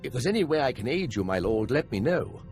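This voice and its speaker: A man's voice: mid-range voice, sing-song, fast talker, darkish tone, faint music behind, lightly acted. A man playing an eager, nervous young imperial officer and scholar in a video game, earnest and a little awkward.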